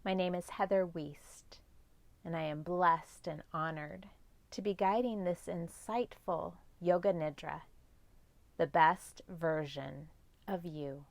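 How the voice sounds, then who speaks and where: A woman guides a yoga nidra meditation in a lowish voice with noticeable creak. She speaks very slowly and gently, leaving long pauses between measured instructions. The sound is close and dry. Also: dry recording.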